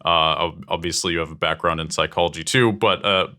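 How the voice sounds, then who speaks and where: A man's voice: deep voice, fairly even intonation, brisk, bright, forceful tone. A man hosting a podcast, asking curious questions with hesitant restarts. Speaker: podcast host. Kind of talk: interview questions.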